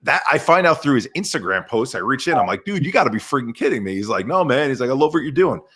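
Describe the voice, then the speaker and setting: A man talking as a podcast guest in an animated, energetic way over a video call. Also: mid-range voice, fast talker, breathy edge. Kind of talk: casual conversation.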